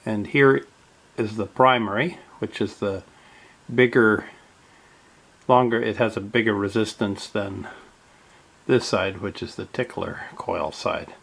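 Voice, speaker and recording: A man narrating a step-by-step radio repair in a halting way, with many fillers. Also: lowish voice, gravelly, a bit bright, slightly rough.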